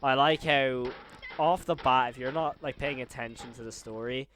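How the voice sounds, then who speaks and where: A man's voice: medium pitch, moderate pace, fairly bright tone, a little hiss or hum. A young man chatting casually as an enthusiastic, slangy host and interviewer. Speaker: YouTube horror reactor and interviewer, also a voice actor. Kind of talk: casual chat.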